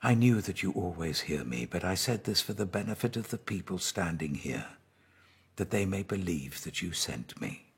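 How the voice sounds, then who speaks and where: A British man voicing a calm, authoritative holy teacher who speaks in a measured, grave, compassionate way in a scripture story. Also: low-pitched, creaky, breathy edge, exaggerated voice. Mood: prayerful.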